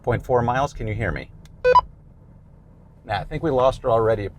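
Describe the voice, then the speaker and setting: A man's voice: mid-range voice, normal speed, heavy background noise. A man in his fifties with an American accent, explaining a product in a plain-spoken, conversational way. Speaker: presenter reviewing gear.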